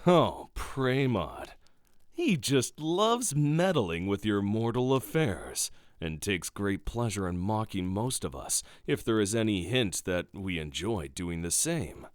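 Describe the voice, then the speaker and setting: A man acting an urbane, slyly amused god in a fantasy audio drama, speaking in a measured, formal, theatrical way. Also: on the low side, very animated, smooth, no room sound, studio quality.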